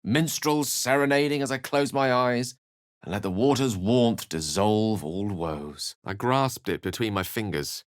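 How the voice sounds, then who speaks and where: A man's voice: on the low side, measured pace, dry recording, clean studio sound, close to an everyday voice. A man voicing an eloquent, bookish, theatrical wizard in a video game, speaking with refined and wry wording. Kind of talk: video game character dialogue and narration.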